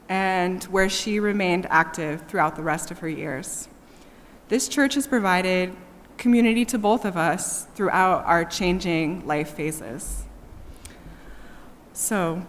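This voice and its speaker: A woman's voice: on the low side, a bit bright, slight background noise. A woman reads a prepared eulogy aloud as a family speaker at a memorial, in a large echoey church. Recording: echoey church hall with long reverb.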